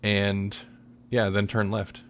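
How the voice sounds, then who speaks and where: A man hosting a casual podcast chat, often halting and thinking aloud as he talks. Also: on the low side, monotone, a bit bright, a little hiss or hum. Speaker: podcast host.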